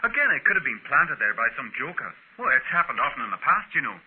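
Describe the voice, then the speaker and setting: An actor playing a learned, skeptical archaeologist's assistant, explaining things carefully in an old-time radio drama. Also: male voice, medium pitch, big pitch swings, quick pace, a little hiss or hum, close to an everyday voice. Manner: considered, expository. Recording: muffled, phone-like vintage radio recording.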